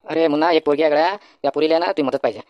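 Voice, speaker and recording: Cartoon casting: brash young village guy played by a man, Varhadi Marathi accent, very quick, dry studio sound. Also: fairly high pitch, dry recording, muffled recording, natural voice. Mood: earnest, persuading. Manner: confident, colloquial. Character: brash, take-charge young village friend, male. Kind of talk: comedy cartoon dialogue.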